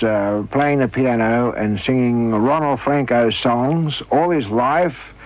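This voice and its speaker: An older man with a husky, gravelly voice, telling anecdotes in an animated way. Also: medium pitch, poor audio. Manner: animated storyteller, acts out dialogue. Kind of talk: reminiscing anecdotes with acted quotes.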